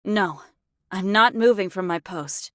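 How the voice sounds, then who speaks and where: A woman's voice: mid-range voice, a little slow, no echo, clean studio sound, lightly acted. A woman playing a stoic, dutiful android sniper in a game, speaking in a terse, matter-of-fact way. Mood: stern. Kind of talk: anime-style game voice acting.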